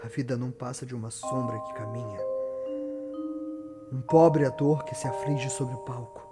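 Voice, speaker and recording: A man's voice: medium pitch, steady tone, normal speed, over music, natural voice. A man with a Brazilian accent acting a tormented, guilt-ridden tyrant in a dramatic, declamatory theatrical monologue. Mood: bleak, weary. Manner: dramatic, declamatory, swinging from murmur to cry. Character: tormented, defiant, guilt-ridden male tyrant haunted by bloody memories. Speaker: stage actor. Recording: long reverberant echo around the voice.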